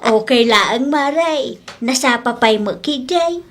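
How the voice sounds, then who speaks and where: A nosy, teasing older village mother, acted by a woman in an Ilocano comedy drama. She talks slowly in a fairly high voice with an Ilocano accent, full of good-humored gossip. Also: expressive, a little hiss or hum. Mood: matter-of-fact. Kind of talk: Ilocano comedy radio-style drama acting.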